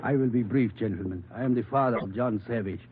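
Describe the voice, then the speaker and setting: A bitter, grave old father played by a male actor speaks with a foreign accent in formal, halting phrases. It is vintage radio drama, thin and narrow in band, with some noise behind. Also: medium pitch, natural voice. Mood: bitter, ashamed. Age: older. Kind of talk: 1950s radio drama acting.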